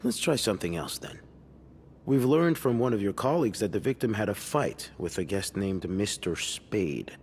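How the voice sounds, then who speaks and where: Deep-voiced, skeptical detective. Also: male voice, animated, moderate pace, a little mellow. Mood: pressing, doubtful. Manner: probing, condescending. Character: skeptical, pressing male police detective. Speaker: video game character. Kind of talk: narrative mystery game voice acting. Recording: long room reverb, faint noise.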